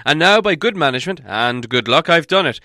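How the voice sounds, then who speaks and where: A man's voice: fairly high pitch, lively intonation, a little fast, pushed and bright, no echo, studio quality, pitched above the performer's own voice. A man voicing a wise, self-assured old wizard who speaks in a raised, emphatic way and stumbles over some restarts. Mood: earnest.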